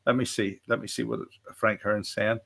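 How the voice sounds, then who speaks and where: A man's voice: fairly low pitch, flat delivery, normal speed, vocal fry, a bit bright. A man with a Northern Irish accent co-hosting a casual chat, rambling, repeating himself and joking as he reads out viewer comments. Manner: rambling, repeats words, jokey. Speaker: co-host.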